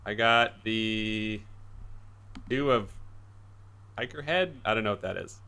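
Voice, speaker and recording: A man's voice: lowish voice, slow, creaky, bright, forceful tone. A man joining a tabletop game with casual, wry, joking remarks.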